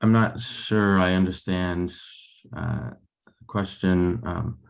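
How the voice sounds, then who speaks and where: Young male researcher, deep voice with vocal fry, halting delivery, academic video-call presentation. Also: steady tone. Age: young adult. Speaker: graduate researcher presenting and answering questions. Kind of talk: academic webinar talk and Q&A. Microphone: video call microphone.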